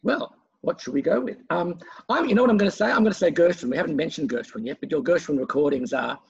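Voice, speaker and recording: Male interviewer. Husky, creaky voice, encouraging and chatty, on a slightly muffled recording. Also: fairly high pitch, fast. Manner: encouraging, effusive. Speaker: interviewer, host.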